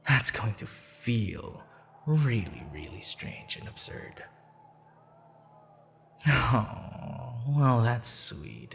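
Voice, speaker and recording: A man playing a courteous, flirtatious assassin in an intimate ASMR roleplay, smitten and slightly sheepish, speaking softly and formally with hesitant pauses. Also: medium pitch, creaky, exaggerated voice. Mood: sheepish, giddy. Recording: long reverb, music behind.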